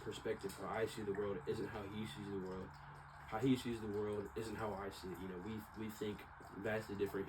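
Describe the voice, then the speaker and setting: A young American man with a slightly low voice rambles reflectively through a casual personal monologue. He circles back and repeats himself as he goes. There is clear background noise in the recording. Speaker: vlogger.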